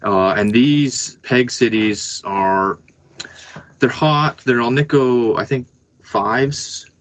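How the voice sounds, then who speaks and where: A man hosting a podcast in casual chat, informal and a little halting as he works through details. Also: mid-range voice, a little hiss or hum. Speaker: podcast host.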